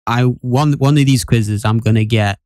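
A young man co-hosting a podcast in casual chat, with a mock-solemn, drawn-out delivery. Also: mid-range voice, a little mellow, no echo, studio quality. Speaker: podcast co-host.

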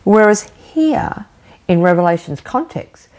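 A woman's voice: mid-range voice, unhurried. An older woman preaching a sermon from an armchair at home, talking with her hands and asking her listeners questions. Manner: animated, gesturing, rhetorical questions. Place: living room with bookshelf, indoors. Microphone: fixed camera framing, seated.

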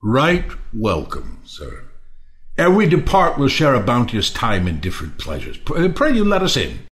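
An actor playing a generous, courtly Athenian lord in a Shakespeare play reading. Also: male voice, medium pitch, wildly swooping pitch, moderate pace, fairly bright tone. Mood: courtly, genial. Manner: courtly, hospitable. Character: Timon, gracious generous Athenian lord, male.

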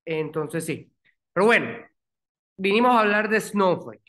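A man's voice: high voice, expressive, measured pace, bright, forceful tone. A man in his thirties hosting an interview over a video call, often restarting and repeating words. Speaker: podcast host. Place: small room at home, indoors.

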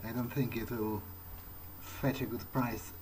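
A man's voice: medium pitch, little pitch movement, darkish tone, a little hiss or hum, rough recording. A man giving in-character video game commentary, thinking aloud in hesitant, broken phrases. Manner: hesitant, halting musing in short broken phrases. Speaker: let's player speaking in character. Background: video game music.

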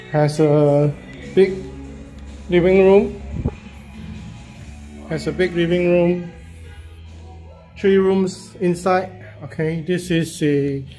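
A man, a real estate agent walking through a property and talking casually, halting and repeating himself with many fillers as he goes. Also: high-pitched, mellow. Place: walking through a house and yard, in and out of doors.

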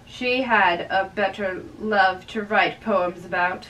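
A young woman giving an amateur dramatic reading of a play, halting and stumbling over words, recorded by a distant camera in a bedroom. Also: medium pitch, a bit bright, a little hiss or hum. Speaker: amateur reader. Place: small bedroom, indoors. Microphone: far camera. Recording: distant microphone in a room.

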